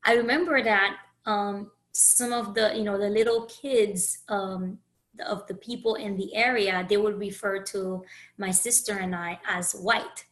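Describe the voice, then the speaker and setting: Brief: woman from Haiti, podcast host, bright clear voice, earnest and searching, restarts often. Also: medium pitch, steady tone, a little slow, poor audio. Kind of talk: reflective solo podcast monologue.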